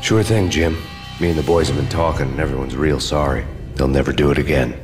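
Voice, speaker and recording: Cynical undercover cop, game noir narration, bottomless low voice, brooding, world-weary, music underneath. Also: male voice, fairly quick. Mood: sardonic. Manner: sardonic, brooding, poetic.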